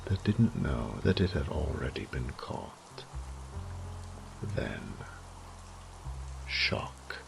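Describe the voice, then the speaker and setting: Man as a deep, gravelly, languid vampire lord. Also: sing-song, very slow, pauses often, over music, heavily acted. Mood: gloating, sinister. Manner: theatrical, ornate, taunting, often dropping to a breathy whisper. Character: suave, sadistic male vampire lord toying with his captive prey. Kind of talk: scripted horror audio roleplay monologue.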